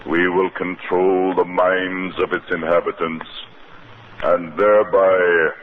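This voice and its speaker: An actor playing a cold, domineering alien from Venus in a 1950s radio drama, commanding and deliberate. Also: male voice, deep voice. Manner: commanding, slow, deliberate, hypnotic. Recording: muffled, noisy 1950s radio recording.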